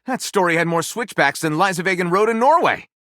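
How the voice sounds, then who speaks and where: A theatrical video game storyteller in a dry, close recording. His pitch leaps and dips freely as he delivers pulp fiction narration with grandiose melodrama. The character is flamboyant and enthusiastic, with a playfully sardonic edge. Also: lively intonation, a little fast, a bit bright, no echo. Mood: theatrical enthusiasm.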